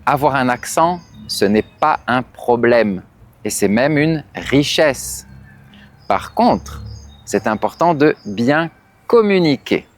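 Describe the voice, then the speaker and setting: A man's voice: medium pitch, lively intonation, takes it slowly. A man working as an interviewer and French teacher, putting short, encouraging questions to people. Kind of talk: street interview with short questions and follow-ups.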